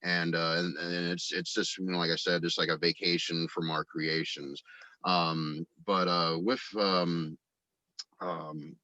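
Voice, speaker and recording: A man from the United States with a deep, bright-edged voice talks casually as a guest. He rambles and often stops to find his words. The recording is very dry and close, without room sound. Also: flat delivery, no echo. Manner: halting, rambling, frequent verbal hedging. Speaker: guest, audio drama actor and writer. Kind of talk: casual interview chat.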